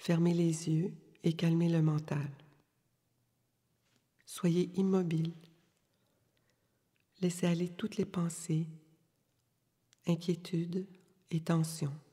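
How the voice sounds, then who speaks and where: Slow, breathy woman guiding deep relaxation. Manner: calm, soothing, unhurried instructions with long pauses. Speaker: yoga nidra meditation guide. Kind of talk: guided relaxation meditation. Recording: long reverberant hall-like echo.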